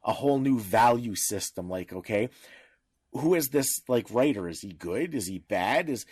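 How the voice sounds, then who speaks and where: Guy on a podcast explaining his take in short emphatic bursts, dry close sound, normal pitch. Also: animated, normal speed, darkish tone, husky, no echo. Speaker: podcast co-host. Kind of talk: casual opinionated discussion.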